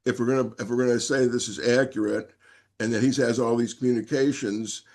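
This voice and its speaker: Man, defense counsel, average-pitched, wordy and halting courtroom questioner. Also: steady tone, creaky, a little mellow. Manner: halting, repetitive, wordy phrasing. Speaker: defense attorney. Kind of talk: courtroom cross-questioning and argument.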